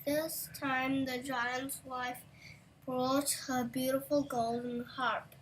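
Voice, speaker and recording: A slightly distant recording with faint noise carries a young female voice reading a fairy tale aloud. She is high-pitched and takes the narration slowly. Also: flat delivery. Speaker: storybook reader.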